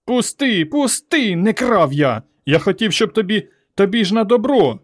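A man voicing a teenage village son in a story, a cheeky lad quick to boast or whine. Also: medium pitch, lively intonation, lightly acted. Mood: yelping, pleading. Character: cocky teenage village son who turns whining and pleading.

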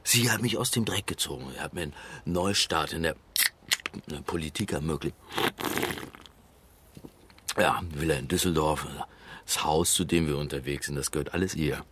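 An actor playing a self-righteous, pompous middle-aged politician in a radio drama, husky-voiced and forever justifying himself. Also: male voice, extremely low pitch, moderate pace, pauses often, noisy background.

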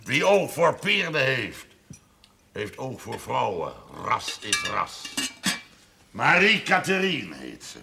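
Gravelly, domineering old farmer patriarch. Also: male voice, medium pitch, wildly swooping pitch, exaggerated voice. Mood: proud. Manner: blunt, commanding, deliberate storytelling. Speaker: actor in a television drama. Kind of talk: 1970s Dutch television drama acting. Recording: noisy old television soundtrack.

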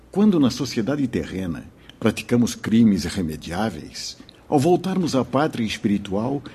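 Very husky, solemn Brazilian spiritual mentor. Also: male voice, fairly low pitch, a little mellow, full character voice. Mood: solemn, instructive. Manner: measured, didactic, solemn. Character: wise, solemn male spiritual mentor, a fatherly priest. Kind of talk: Portuguese-language radio drama acting.

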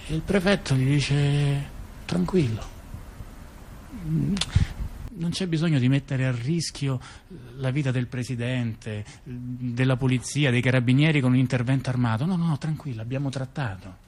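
A man's voice: mid-range voice, normal speed, darkish tone. A man telling a long story in a theatrical radio dialogue, chatty and digressive, full of asides and fillers. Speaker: theater performer.

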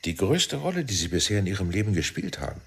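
A man acting a shrewd, persuasive intelligence team leader in a German radio play, speaking deliberately and insistently. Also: deep voice, very animated, creaky, slight background noise, exaggerated voice. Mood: smooth, persuasive.

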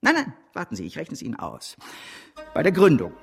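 A man's voice: on the high side, wildly swooping pitch, brisk, gravelly, fairly bright tone, husky, faint music behind, exaggerated voice. An actor playing a grandiose, scheming bank director in a German radio drama, bombastic and impatient as he persuades. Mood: cajoling, persuasive.

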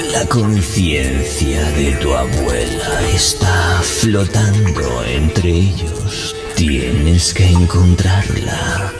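A solemn vagrant oracle, acted by a man in a radio drama. He speaks extremely slowly in a low voice with lively swoops, cryptic and grave, over loud music. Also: heavily acted. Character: enigmatic male vagrant oracle of the park, solemn. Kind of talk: audio drama acting.

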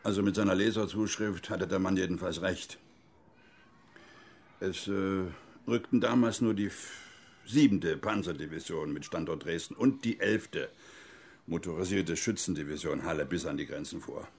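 An old former military man with a very deep, husky voice. He is gruff and reticent, speaking in halting, laconic phrases in a naturalistic radio crime drama. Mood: reminiscing, halting. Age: older. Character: gruff, reticent old former East German army officer.

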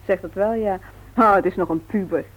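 An actress playing a supportive, concerned wife in a 1960s radio drama, gentle and breathy. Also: female voice, mid-range voice, moderate pace, close to an everyday voice, poor audio. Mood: caring, concerned. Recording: old radio play recording.

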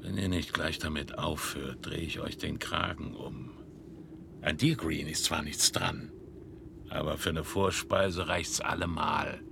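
An actor playing a gruff, burly former wrestler turned starving sailor in a radio play, grumbling and threatening in a gravelly voice. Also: male voice, extremely low pitch, steady tone, a little slow, a bit bright, a little hiss or hum, exaggerated voice. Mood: grumbling threat.

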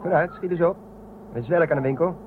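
An eager, impatient male shuttle pilot played by an actor in a science fiction radio play, bossy but teasing. His voice is a little high. The sound is phone-like and clearly noisy. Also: fast, close to an everyday voice.

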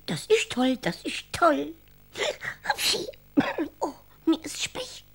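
A tiny magical granny for a children's radio play. The voice is very high and swoops around, with slow, childlike, clipped phrases. It whines and chants in sing-song rhymes. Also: female voice, huge pitch swings, creaky, a bit bright, exaggerated voice. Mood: feeble, whiny. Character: tiny childish grandma hatched from an egg.

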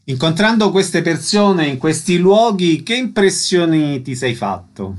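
Male radio interviewer, slightly high voice, putting questions and reading out announcements. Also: sing-song, a little slow.

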